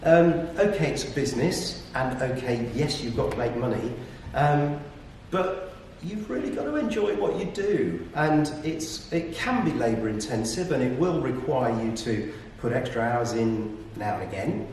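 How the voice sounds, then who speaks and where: A man explaining business tips conversationally, in hesitant, measured phrases. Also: medium pitch, expressive, darkish tone. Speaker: property business operator giving tips. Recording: echoey room, distant mic, faint noise.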